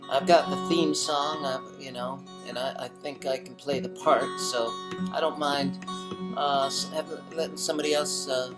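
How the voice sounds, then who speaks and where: A man hosting a casual stream, rambling in a halting way with many fillers and restarts. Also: medium pitch, steady tone, over loud music, poor audio. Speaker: singer-songwriter hosting a home stream.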